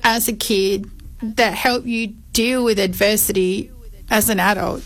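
A woman's voice: medium pitch, steady tone, measured pace, fairly bright tone, rough recording. Composed woman hosting an interview. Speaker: interview host. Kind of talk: scripted introduction and interview questions.

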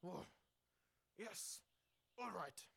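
A woman's voice: on the low side, expressive, fairly bright tone, exaggerated voice. A woman reading a storybook aloud, voicing a big, grumpy father koala with a growly voice. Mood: gruff. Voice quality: growly, gravelly voice. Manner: slow and weighty.

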